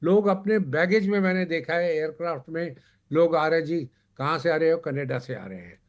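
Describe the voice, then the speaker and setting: A man's voice: fairly high pitch, moderate pace. A man speaking as a panelist in a televised discussion, emphatic, in short broken phrases with repetition.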